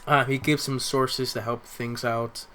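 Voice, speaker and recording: A young man talks through his notes in a casual podcast recap. His voice sits at an average pitch, and there is a little noise behind him. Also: flat delivery, a little slow, pushed and bright. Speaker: podcast co-speaker.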